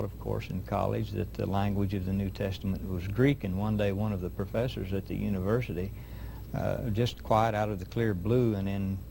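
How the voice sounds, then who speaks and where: A man hosting an interview, asking questions and telling an anecdote in a measured, deliberate way. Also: fairly low pitch, creaky, mellow, slight background noise, rough recording. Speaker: host interviewer.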